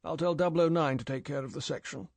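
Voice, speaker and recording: A man's voice: a little high, steady tone, fast, darkish tone, dry recording, voice pushed up, lightly acted. A man reading an audiobook, voicing M, a stern older male spy chief who lectures in a clipped, scolding way. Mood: brisk cheerful.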